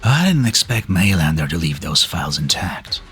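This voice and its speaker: Guy voicing ancient tired old immortal man, really low voice, wry n cryptic, breathy, swings up and down, music behind. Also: big pitch swings, moderate pace, a bit bright. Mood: wry, calm. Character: ancient weary long-lived male being, cryptic and wry. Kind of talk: fan-dub game drama acting. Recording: background music under the voice.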